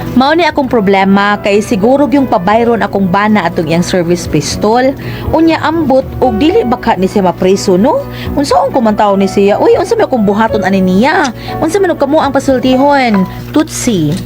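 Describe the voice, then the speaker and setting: An exasperated wife frets and scolds in a high, swooping voice with a Cebuano accent. Loud dramatic music sits under the radio drama performance. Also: female voice, very animated, moderate pace. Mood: worried. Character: exasperated, anxious scolding wife of a cowardly policeman.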